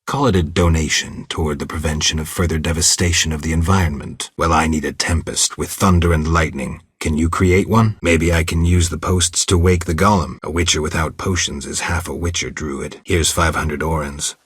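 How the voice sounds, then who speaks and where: Casting: stoic, not quite human game monster hunter; very deep bass, husky gravel, laconic deadpan lines. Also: male voice, moderate pace, few pauses, no room sound, clean studio sound, exaggerated voice. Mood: flat, matter-of-fact. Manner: terse, deadpan, guarded. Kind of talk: video game dialogue acting.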